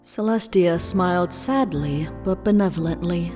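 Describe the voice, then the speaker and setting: A woman narrating a scripted fan fiction story in a measured storybook style. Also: lowish voice. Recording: long reverb, music behind.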